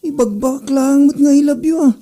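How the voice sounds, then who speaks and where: A woman's voice: high-pitched, moderate pace, noisy background, close to an everyday voice. A woman with an Ilocano accent playing a cheeky, mischievous schoolboy who teases everyone in a comedy drama. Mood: teasing. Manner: teasing, mischievous. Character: cheeky, teasing troublemaker schoolboy Joel.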